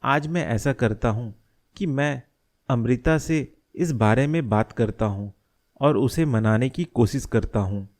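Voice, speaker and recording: A man playing a worried middle-aged father in an animated moral story, speaking in a deliberate, earnest, explanatory way. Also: medium pitch, lightly acted. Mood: resolving, planning. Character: worried middle-aged father scheming to protect his family.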